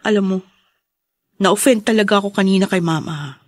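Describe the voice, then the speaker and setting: A woman's voice: mid-range voice, moderate pace, no echo, clean studio sound, lightly acted. An actress playing a hurt, frank young wife and new mother in a Filipino radio drama, with a Filipino accent. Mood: hurt, aggrieved. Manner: frank, aggrieved.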